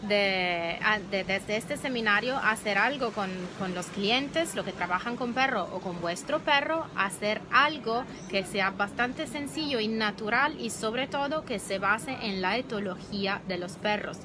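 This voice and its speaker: Italian woman speaking hesitant Spanish. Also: medium pitch. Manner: searching for words, slow and hesitant. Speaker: dog behavior researcher. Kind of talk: live video chat. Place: outdoor patio. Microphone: phone at arm's length. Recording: noisy outdoor phone stream.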